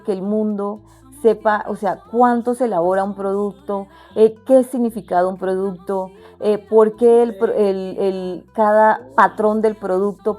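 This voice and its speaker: Young Wayuu woman, earnest interviewee, mellow tone, faint music bed. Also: medium pitch. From La Guajira, Colombia. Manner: earnest, halting, with frequent restarts. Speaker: interviewee, artisan entrepreneur. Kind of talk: casual interview.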